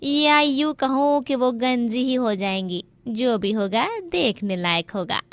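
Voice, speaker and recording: A woman's voice: high voice, lively intonation, takes it slowly, dry recording, full character voice. A woman voicing a jealous, scheming young daughter-in-law in a moral story, with a plotting, gloating manner. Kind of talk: Hindi moral story voice acting.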